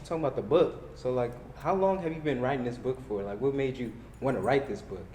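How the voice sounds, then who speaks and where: A man's voice: mid-range voice, quick pace, warm tone. A young man co-hosting a casual podcast chat, laid-back and drawn out as he asks his questions. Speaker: co-host. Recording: roomy echo with faint noise.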